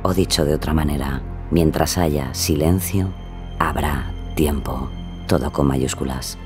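An actor as a solemn, wry narrator delivering dramatic scripted narration for an audio drama. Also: male voice, extremely low pitch, a little slow, over music.

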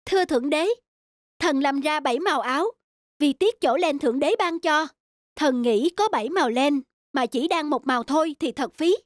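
A woman's voice: high-pitched, normal speed, dry recording, studio quality, close to an everyday voice. A woman voicing a kind, principled female angel of light in a cartoon, speaking in an earnest, formal way. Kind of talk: dubbed cartoon acting.

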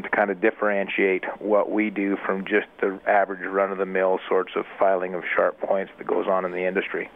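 A male expert guest speaking in a measured, methodical way, explaining the details of his work. His voice is somewhat low and reaches the show over a thin, tinny phone line. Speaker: equine dentist guest. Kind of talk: explanatory radio interview.

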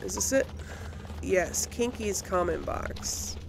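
A woman's voice: mid-range voice, lively intonation, takes it slowly, vocal fry, background noise. A woman giving casual gameplay commentary, thinking aloud and often questioning herself as she goes. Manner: musing, self-questioning. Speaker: let's play host.

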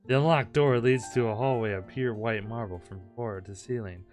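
A man's voice: medium pitch, normal speed, a little mellow. A man hosting a let's play, reading visual novel text aloud in a playful, dramatic way with stumbles and joking asides. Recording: music behind the voice.

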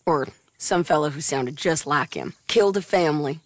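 An actress playing a tough, plain-spoken female bounty hunter with a raspy voice and a rural American accent in a video game. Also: low-pitched, normal speed. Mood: apologetic, low. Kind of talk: video game cutscene acting.

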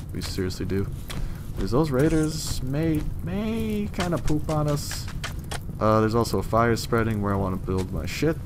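A young man with a slightly hoarse voice, giving loose, rambling gameplay commentary and swearing casually. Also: lowish voice, background noise. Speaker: let's play gamer.

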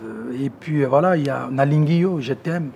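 A man in his thirties from Congo with a Congolese French accent, talking casually to camera, relaxed and hesitant with many fillers. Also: medium pitch, normal speed, gravelly, slight background noise. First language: Lingala. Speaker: musician presenting his own interests. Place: outdoor garden terrace among plants. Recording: music playing under the voice.

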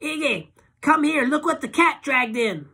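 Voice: high voice, expressive, sharp tone, voice pushed up, exaggerated voice. A narrator voicing a flirtatious, tipsy woman who slurs and drags out her words. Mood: tipsy teasing. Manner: slurred, giggly, drawn-out.

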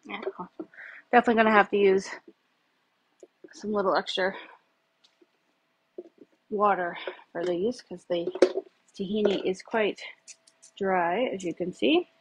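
Thirties woman vlogging in her kitchen, slightly breathy, loose and chatty with fillers, phone on the counter. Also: medium pitch, measured pace, long pauses, rough recording. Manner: chatty, rambling, many fillers. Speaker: home cook vlogger. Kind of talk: casual cooking demo. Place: home kitchen, indoors. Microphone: phone camera on the counter.

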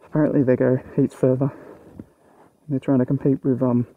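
A man's voice: medium pitch, flat delivery, moderate pace, phone-quality sound. A man, talking casually to the camera while out on a motorcycle, musing aloud with fillers. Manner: chatty, offhand musing with fillers. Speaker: motovlogger. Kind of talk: casual vlog narration while riding. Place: outdoors on a motorcycle and at an open site. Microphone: helmet or action-camera mic. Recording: wind and engine noise.

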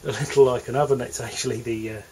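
A man's voice: mid-range voice, fast talker, slight background noise. English man touring a yacht's cabins. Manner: relaxed, hesitant, with fillers and restarts. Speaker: yacht broker. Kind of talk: walkthrough tour narration. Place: cabins below deck on a moored motor yacht. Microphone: handheld camera mic.

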